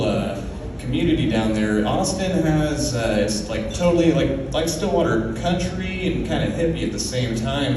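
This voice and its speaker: A young man from Oklahoma works a stand-up set in a club, talking in an easy, rambling way with lots of filler words. His voice sits at an ordinary male pitch. It comes from some way off the mic and bounces around a very reverberant room, with a clear hum of club noise behind it. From Oklahoma, USA. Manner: laid-back, filler-heavy delivery with lots of "man" and "uh". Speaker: stand-up comedian. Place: comedy club stage. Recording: very echoey club room from far off. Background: audience laughter.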